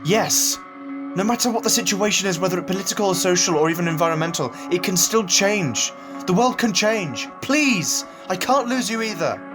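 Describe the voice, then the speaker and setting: An actor playing a stubborn, impassioned young husband in a dystopian audio drama, earnest and desperate. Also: male voice, high voice, lively intonation, moderate pace. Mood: desperate, pleading. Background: music.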